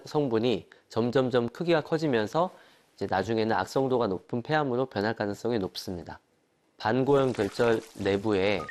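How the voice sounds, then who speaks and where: A man's voice: mid-range voice, a little mellow. A man speaking as a doctor, giving a technical explanation in an interview. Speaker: thoracic surgeon.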